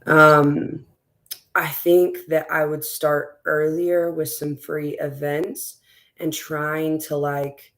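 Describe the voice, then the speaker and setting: A woman with a raspy, strained voice, a school board candidate talking as a guest in a relaxed interview, rambling with lots of fillers and restarts. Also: low-pitched, slow pace. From West Texas. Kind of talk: casual conversational interview.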